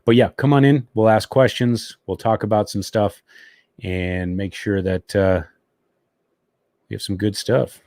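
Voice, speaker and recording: A man's voice: lowish voice, steady tone, measured pace, darkish tone, dry recording, studio quality. A man hosting a motorcycle live stream from home, chatting casually and easygoing, with fillers. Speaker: motovlog live stream host.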